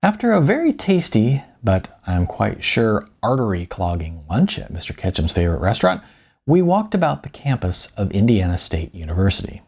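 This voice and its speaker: A man with a gravelly voice, an author narrating his own audiobook in an informal way. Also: on the low side, wildly swooping pitch, moderate pace, a little mellow.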